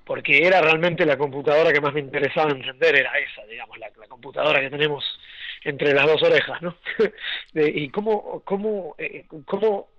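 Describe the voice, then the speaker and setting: Young Argentine-accented male guest, loose and rambling, a touch high-pitched, dry muffled audio. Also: a little fast, no echo. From Argentina and Venezuela. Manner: rambling, many restarts and fillers, laughs often. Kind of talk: casual interview storytelling.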